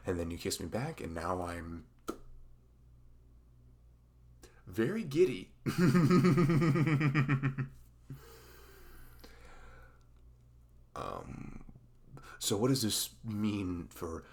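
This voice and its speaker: Male actor as dorky young best friend, smitten, intimate ASMR roleplay, slow hesitant delivery, gravelly creak. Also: fairly low pitch, sing-song, a little mellow, heavily acted. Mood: flustered, giddy. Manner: halting, hesitant, rambling and awkward.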